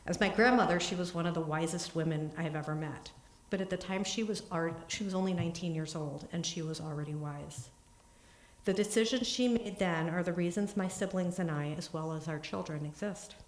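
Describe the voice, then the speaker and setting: A woman giving an earnest, steady talk in a synagogue, then answering questions, with small restarts. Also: fairly low pitch, a little fast. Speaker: congregant giving a family history talk. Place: synagogue sanctuary. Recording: echoey room.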